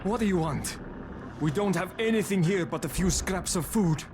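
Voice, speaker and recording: A man's voice: high-pitched, animated, moderate pace, background noise. A man playing a nervous, anxious museum curator in a video game. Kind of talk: video game cutscene acting.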